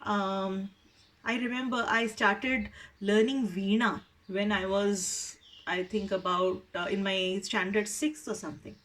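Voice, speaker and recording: A woman with an Indian accent telling a story informally, in a conversational, explanatory way with expressive emphasis. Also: medium pitch, unhurried, far from the mic.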